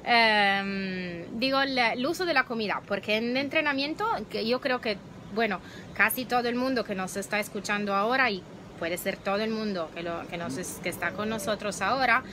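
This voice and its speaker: A woman in her thirties, a native Italian speaker, talks about dog research in Spanish on a live phone stream, pausing often to search for words. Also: mid-range voice, pushed and bright. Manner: searching for words, slow and hesitant. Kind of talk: live video chat. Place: outdoor patio. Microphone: phone at arm's length. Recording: noisy outdoor phone stream.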